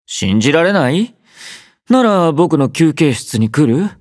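A sleepy teasing young army doctor. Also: male voice, on the high side, slow, no room sound, clean studio sound, full character voice. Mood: easygoing teasing. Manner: relaxed, drowsy, gently teasing. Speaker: game character voice lines. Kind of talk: game voice collection. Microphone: close studio mic.

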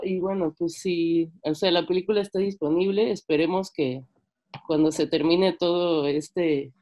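A young woman with a Mexican accent and a fairly low voice talks casually on a video call. She feels her way through sentences in a conversational, hesitant manner, often ending on a small questioning tag. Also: a little mellow. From Mexico, French-Mexican. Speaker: seminar participant, filmmaker. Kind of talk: informal sharing in an online discussion. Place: bedroom, indoors on a video call. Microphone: computer microphone on video call.

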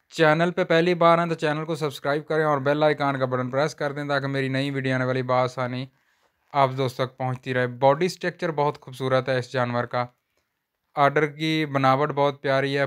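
A man gives a flat, even-toned sales walkthrough of cattle on an outdoor farm. His voice is smooth and clear, and he talks in steady, unhurried patter. He is recorded on a handheld phone. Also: medium pitch. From Pakistan. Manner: repeats a stock religious phrase often. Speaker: livestock seller vlogger.

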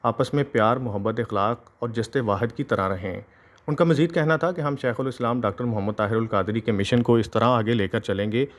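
A man reporting as a field correspondent, reading a formal news voice-over at an even pace. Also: mid-range voice, a little fast.

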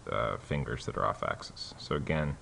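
Very deep-voiced man demonstrating software. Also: moderate pace, gravelly, slight background noise. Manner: relaxed, many fillers and restarts. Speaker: software tutorial host. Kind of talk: casual screen-recorded tutorial.

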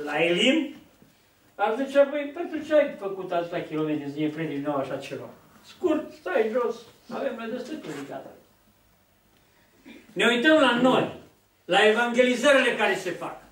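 Bărbat în vârstă, predicând într-o sală cu ecou. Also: male voice, sits high, a bit bright. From Romania. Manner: storytelling, acts out quoted dialogue, gestures widely. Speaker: preacher. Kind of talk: sermon. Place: church hall, at a lectern. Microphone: far camera. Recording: echoey hall from a distance.

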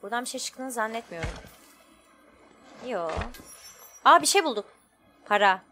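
A woman's voice: on the high side, very animated, normal speed, pushed and bright, slight background noise. A woman giving casual, informal let's play commentary, thinking aloud as she goes. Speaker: gaming streamer.

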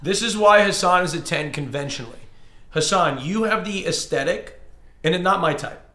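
High-voiced man in an echoey room. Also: expressive, normal speed, sharp tone. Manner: insistent, repeats himself to hold the floor. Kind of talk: casual group chat. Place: indoor room with several people.

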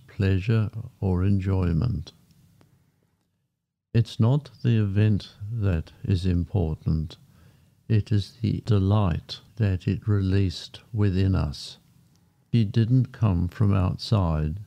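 A man guiding a meditation in a calm, unhurried manner, speaking in short phrases with long pauses between them. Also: low-pitched, gravelly. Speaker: meditation guide. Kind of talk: guided meditation narration. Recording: muffled, dull lo-fi sound. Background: background music.

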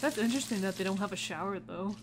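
A woman's voice: medium pitch, quick pace, background noise. A woman doing casual let's play commentary, chattily thinking aloud and reading game text out as she goes. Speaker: gaming video host.

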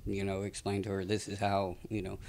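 A man's voice: low-pitched, brisk, creaky. A man in his late thirties from Texas, a podcast guest talking casually with lots of fillers, recorded on a studio mic. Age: 30s. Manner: relaxed, rambling storyteller with many fillers. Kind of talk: casual interview talk. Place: small podcast studio indoors. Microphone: studio mic on a boom arm.

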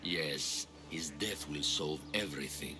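Casting: troubled townsman in a game, low register, pleading yet calculating. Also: measured pace, music in the background. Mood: pleading, grim. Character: troubled, scheming male ancient Greek townsman. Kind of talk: video game dialogue acting.

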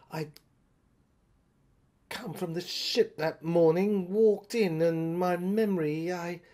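A woman's voice: lowish voice, dark tone. A woman performing a haunted, agitated narrator in an audio drama monologue, stammering and repeating words. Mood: uneasy, remembering. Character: haunted, agitated narrator recalling an uncanny asylum.

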